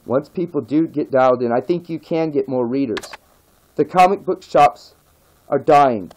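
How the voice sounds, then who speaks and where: A man's voice: normal speed, a little mellow, rough recording. A man who grew up in the nineties, ranting casually and with passion as a vlogger, pleading over and over and stressing his points. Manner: pleading and repetitive, emphatic, rises in pitch when begging. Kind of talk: impassioned casual rant to camera.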